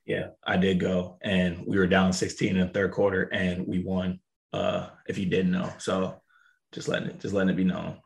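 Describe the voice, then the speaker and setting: Man, lowish pitch, raspy, hyped, playful podcast co-host. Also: completely flat delivery, moderate pace. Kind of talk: casual podcast chat.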